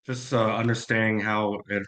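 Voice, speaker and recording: A man's voice: fairly low pitch, flat delivery, normal speed, fairly bright tone. A man speaking in a reserved, hesitant way as a prospective customer on a call. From United States. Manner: reserved, held back, hesitant. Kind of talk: reserved phone-call conversation.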